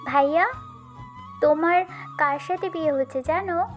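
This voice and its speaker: Woman playing high-voiced, concerned younger cousin. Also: a little slow, faint music behind. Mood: concerned, curious. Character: caring younger married female cousin, concerned. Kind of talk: romantic audio story acting.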